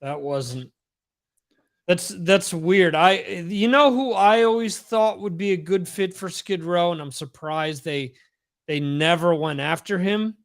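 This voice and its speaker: A man with a fairly high voice who talks very slowly. He hesitates with fillers and picks his words carefully in casual chat. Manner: slow, hesitant, measured.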